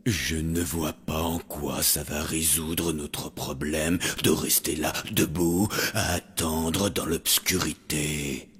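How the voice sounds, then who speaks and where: A man voicing a gloomy, formal undead hotel servant in a French cartoon, speaking in a dour, deliberate manner. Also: rumbling low, steady tone, fairly bright tone, exaggerated voice. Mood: dour resignation. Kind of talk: French animated cartoon dubbing.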